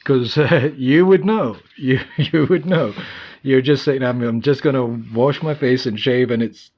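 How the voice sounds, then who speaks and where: A man's voice: medium pitch, lo-fi sound. A man hosting a podcast, chatting casually and laughing easily as he draws out his guest. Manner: chatty, quick to laugh, many short backchannels. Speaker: podcast host. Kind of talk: casual interview chat.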